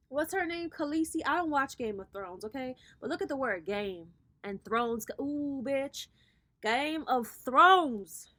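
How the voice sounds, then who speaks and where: A woman in her thirties with a slightly high voice talks straight to her viewers in a relaxed tarot reading. Her delivery is sassy and playful, full of casual swearing, as she coaches her audience on their spiritual path. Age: 30s.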